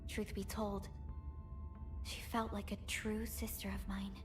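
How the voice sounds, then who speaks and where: A woman voicing a gentle, earnest young supervisor in a video game, speaking in a measured, formal and wistful way. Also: medium pitch, level tone, over music, lightly acted. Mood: reflective. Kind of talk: scripted video game character dialogue.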